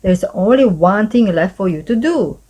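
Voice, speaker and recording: A woman's voice: medium pitch, expressive, normal speed, warm tone, natural voice. A woman voicing an upbeat female sports-day organiser from a children's storybook, announcing in an encouraging way. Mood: cheery announcing.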